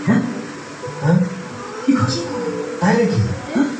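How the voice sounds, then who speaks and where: Naive male villager acted by a man for a puppet play, high and bouncy, folksy, incredulous, echoing. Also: very animated, a little slow. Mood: astonished. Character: astonished, naive village man asking questions. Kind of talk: puppet show dialogue. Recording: echoey, distant, faint music behind.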